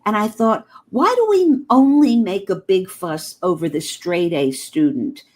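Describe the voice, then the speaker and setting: A woman's voice: mid-range voice, animated, measured pace, a little mellow. Earnest older woman, German native speaker. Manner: earnest, heartfelt. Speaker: guest. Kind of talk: personal storytelling.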